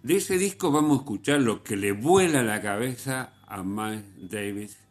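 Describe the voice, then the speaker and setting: A man hosting a radio music program, telling anecdotes in an unhurried, halting way with frequent restarts. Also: medium pitch, animated. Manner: unhurried storyteller, pauses and restarts, swings in loudness. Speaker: radio host.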